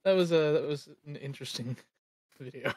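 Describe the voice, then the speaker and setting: A woman's voice: deep voice, normal speed, warm tone, slightly rough, no echo. A woman co-hosting a casual livestream, talking tentatively as if thinking aloud. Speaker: stream co-host.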